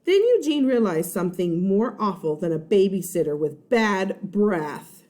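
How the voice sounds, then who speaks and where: A woman reads a children's story aloud as a teacher would to first graders. Her voice is mellow and very animated in pitch, at an unhurried pace, addressing her young listeners directly. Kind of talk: expressive children's storybook read-aloud with asides.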